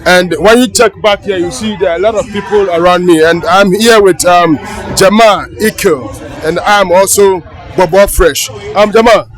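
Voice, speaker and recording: A man's voice: high voice, moderate pace, crowd noise. A man interviewing people outdoors at a funeral, talking loosely with lots of filler hesitations. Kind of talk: casual street interview. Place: outdoors at a crowded palace funeral.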